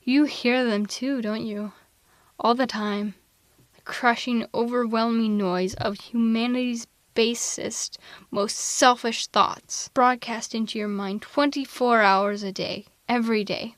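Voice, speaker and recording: A woman playing a sardonic telepathic supervillain with a hidden tender side, in a theatrical, deliberate delivery for an ASMR roleplay audio drama. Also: on the high side, steady tone, no room sound, close to an everyday voice. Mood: dawning sympathy.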